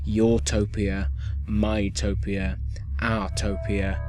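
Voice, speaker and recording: A man's voice: on the low side, level tone, very slow, over music, pitched below the performer's own voice. A man playing a cheerful yet sinister dystopian radio host, delivering scripted propaganda in a theatrical radio-play style. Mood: ominous, hypnotic. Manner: theatrical, dramatic, swinging from grand proclamation to hushed menace. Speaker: radio announcer.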